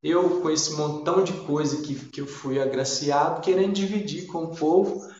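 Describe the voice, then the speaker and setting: A man's voice: a little high, moderate pace, darkish tone. Man, Minas Gerais accent, shy rambling storyteller, guest on an interview; very reverberant, muffled remote sound. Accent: Minas Gerais Brazilian Portuguese. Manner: shy, meandering, folksy storytelling. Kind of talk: casual reminiscing interview talk.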